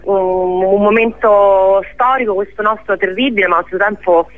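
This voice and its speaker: A woman's voice: mid-range voice, normal speed. A woman phoning in as an interview guest, speaking casually and effusively over a narrow telephone line. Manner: effusive, enthusiastic. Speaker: interview guest promoting a stage show. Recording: narrow tinny phone line.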